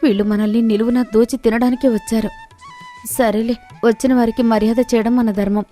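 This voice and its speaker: A woman's voice: on the high side, level tone, a little fast, dark tone, faint music behind, natural voice. A woman voicing a worried, dutiful village farmer's wife in a story, with a plaintive manner. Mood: dismayed.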